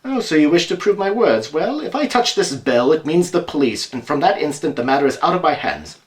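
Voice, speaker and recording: A man playing a brisk, confident, teasing detective in an audio drama, with quick, theatrical speech. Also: a little high, very animated. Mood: brisk, taunting.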